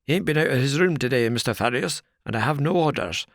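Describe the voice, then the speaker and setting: Male narrator doing a burly, blunt doorkeeper in a storybook reading, rough and loud. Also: medium pitch, lively intonation, fast talker, no room sound, studio quality, voice pushed up, natural voice. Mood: stubborn. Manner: gruff and blunt, rough plain speech.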